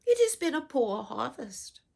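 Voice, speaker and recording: A woman's voice: a little high, big pitch swings, measured pace, creaky, dark tone, pitched above the performer's own voice, exaggerated voice. A woman voicing wary storybook peasants who feign poverty, with a plaintive, exaggerated manner. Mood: mock sorrowful.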